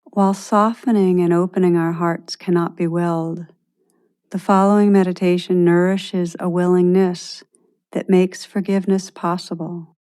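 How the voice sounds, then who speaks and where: A woman leading a guided meditation in a gentle, measured way, leaving long pauses between phrases. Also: lowish voice, flat delivery, smooth.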